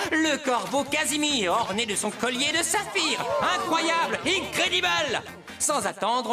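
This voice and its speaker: An actor playing an excitable, grandiose contest announcer in a children's cartoon. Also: male voice, extremely high pitch. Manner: grandiose, repeats himself in English. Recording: loud music behind the voice.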